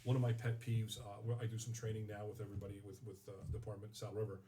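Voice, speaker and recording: A middle-aged man, talking candidly as an interview guest in a halting way, repeating words as he looks for phrasing. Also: on the low side, level tone, warm tone. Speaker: guest, firefighting instructor and training officer. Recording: voice slightly distant from the mic.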